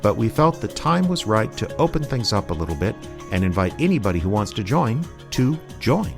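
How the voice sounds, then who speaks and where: A man with a somewhat low voice serves as a radio theater announcer. He reads scripted narration, with music clearly playing behind him. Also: sing-song, fairly quick.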